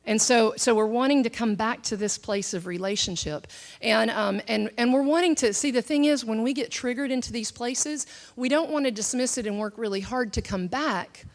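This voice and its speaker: A woman's voice: a little high, normal speed. A middle-aged woman from the southern United States gives a conversational church teaching and shares her testimony, with many fillers and restarts. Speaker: speaker at a church teaching session. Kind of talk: teaching talk mixed with personal testimony.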